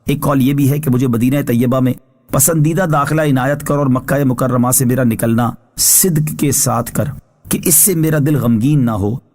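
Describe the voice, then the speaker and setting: A man with a mid-pitched voice reads scriptural translation and commentary. His delivery is measured and formal, like a scholar explaining a text from a script. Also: a little mellow.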